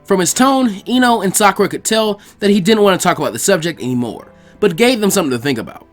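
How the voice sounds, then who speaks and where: Animated fan fiction reader, mid-range voice, music bed underneath. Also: medium pitch, fast. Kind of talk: scripted story narration with character voices.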